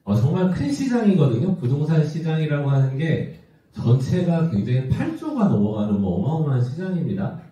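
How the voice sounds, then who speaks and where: A man's voice: a little high, sing-song, brisk, mellow. A man of about fifty from South Korea giving a business presentation in a conversational way, often putting questions to his listeners. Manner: conversational, asks the audience rhetorical questions. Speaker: company executive presenting. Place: large indoor event room with audience. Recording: muffled, echoey hall, distant from mic.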